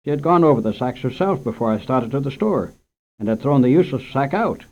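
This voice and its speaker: A man reading a children's short story aloud for radio in a measured, gentle storytelling manner. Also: medium pitch, lively intonation. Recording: dull lo-fi old radio recording.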